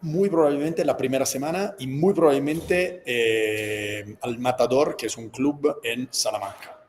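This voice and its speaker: A man's voice: mid-range voice, a little slow, a little hiss or hum. A man from Calabria with an Italian accent, a guest in casual interview chat, feeling for words in his second language. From Calabria, southern Italy. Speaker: guest author and speaker.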